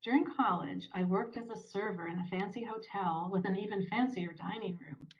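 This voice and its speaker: Female story narrator, mid-pitched, scripted read, a little lo-fi podcast sound. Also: moderate pace. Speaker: author reading her story. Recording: slightly dull podcast playback.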